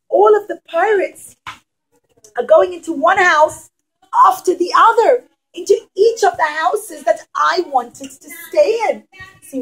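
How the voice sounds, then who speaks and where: A woman's voice: sits high, poor audio. A woman telling a story to young children in a dramatic, emphatic way, often putting questions to them as their teacher.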